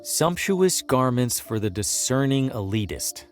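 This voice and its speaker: Man acting curious, wry salesman, animated pitch. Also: unhurried, soft background music, lightly acted. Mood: wry musing. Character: curious, hesitant male traveling salesman, wry and bluffing. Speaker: protagonist character. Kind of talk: video game voice acting, dialogue and musing asides.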